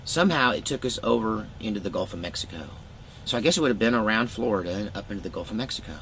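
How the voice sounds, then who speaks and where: Gravelly American man telling a rambling story. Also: fairly low pitch, expressive, fast talker, a bit bright, a little hiss or hum. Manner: rambling, candid, folksy. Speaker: vlogger telling a personal story. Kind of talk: casual first-person storytelling.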